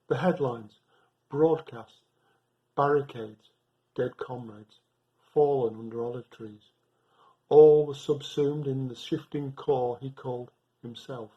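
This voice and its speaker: A man reading a poem aloud with measured, deliberate phrasing. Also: medium pitch, darkish tone, muffled recording. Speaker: poetry reader.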